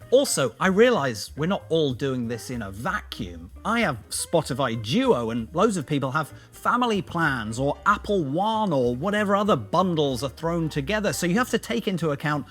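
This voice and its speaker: British man, higher-pitched voice, very animated intonation, chatty vlog host, self-deprecating, music behind. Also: moderate pace, few pauses. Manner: chatty, self-deprecating, jokey asides with slangy turns of phrase. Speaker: YouTube video host. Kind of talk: casual, conversational tech review vlog.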